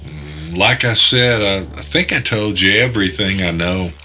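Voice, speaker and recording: A calm but evasive man takes part in a call in an audio drama. His lowish voice has a very bright edge. He is guarded and hesitant, with music underneath. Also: moderate pace, heavily acted. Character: evasive, calm male acquaintance on the phone. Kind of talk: naturalistic audio drama acting.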